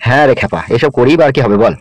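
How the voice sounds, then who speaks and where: Male actor as cartoon gangster boss, vengeful, smooth-talking, bossy, mid-pitched, extremely rapid delivery. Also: expressive, no echo, close to an everyday voice. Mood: fatherly. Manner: colloquial, bossy big-brother talk. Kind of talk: comedy cartoon dubbing in Bengali.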